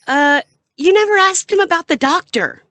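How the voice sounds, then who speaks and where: A woman's voice: very high-pitched, lively intonation, normal speed, fairly bright tone, no room sound. An actress playing a guileless, cautious young female companion in an audio drama. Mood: wary.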